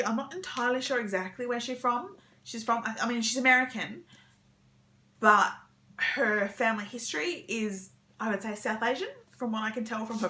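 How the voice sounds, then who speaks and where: Bright-voiced woman chatting about her reading. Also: medium pitch, slight background noise. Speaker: book vlogger sharing weekend reading plans. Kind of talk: casual rambling chat to camera.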